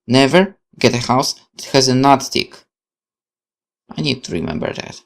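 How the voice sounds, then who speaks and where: A gaming YouTuber reads visual novel text aloud and comments on it. The delivery is expressive, with very lively intonation, breaking off to exclaim and question the story. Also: mid-range voice, moderate pace, vocal fry. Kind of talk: let's play commentary, reading game text aloud.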